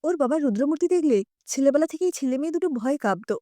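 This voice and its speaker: Lady doing a resigned older mom-in-law, meek n gentle, audio story, soft dark tone. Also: high voice, expressive, fast, no room sound, clean studio sound. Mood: resigned, sorrowful. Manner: soft-hearted, self-effacing.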